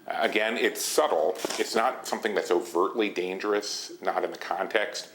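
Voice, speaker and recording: An actor playing a grave, measured male investigator in naturalistic game dialogue. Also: low voice, natural voice. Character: grave male investigator alarmed by hidden cult messages. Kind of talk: naturalistic recorded meeting dialogue. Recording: noisy meeting tape.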